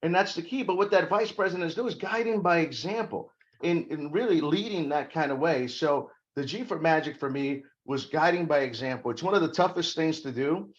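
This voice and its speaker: A middle-aged man from Brazil speaks in a fairly high voice, emphatic and a little preachy as he lays out his ideas. His voice comes through muffled, lo-fi call audio. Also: a little fast. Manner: emphatic, preachy, self-deprecating jokes. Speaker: corporate security guest. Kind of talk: podcast interview conversation.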